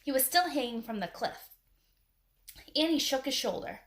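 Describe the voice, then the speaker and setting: A woman's voice: sits high, lively intonation, normal speed. A woman reading a children's adventure story aloud as its narrator. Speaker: storybook reader. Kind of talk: children's book read aloud.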